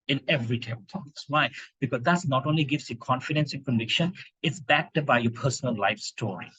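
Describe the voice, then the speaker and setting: Husky-voiced man coaching speakers. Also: medium pitch, no echo, lo-fi sound. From India. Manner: animated, emphatic, rhetorical questions. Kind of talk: club talk on impromptu speaking. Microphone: video call microphone.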